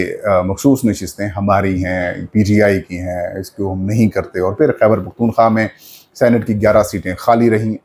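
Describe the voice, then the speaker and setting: Low-pitched Pakistani man giving political commentary. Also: darkish tone. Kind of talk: unscripted political news commentary.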